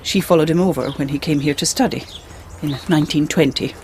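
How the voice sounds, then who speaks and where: A starchy, disapproving senior nurse with a British accent. Her voice sits a little low, loyal and sceptical in period radio drama style. There is slight background noise. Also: fairly quick, darkish tone. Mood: matter-of-fact.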